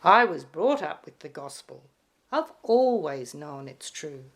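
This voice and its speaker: A woman's voice: low voice, sing-song, unhurried, voice pushed down, heavily acted. A woman voicing a cheerful, sheepish male Easter Bunny in a storybook poem, chummy and casual. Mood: breezy, friendly.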